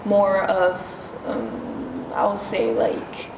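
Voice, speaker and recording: A young woman with a Japanese accent, a singer answering interview questions softly and thoughtfully, with many fillers. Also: mid-range voice, lively intonation, gravelly, recorded from a distance. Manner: soft, thoughtful, slow with many fillers and giggles. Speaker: singer-songwriter guest. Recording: echoey convention room with noise.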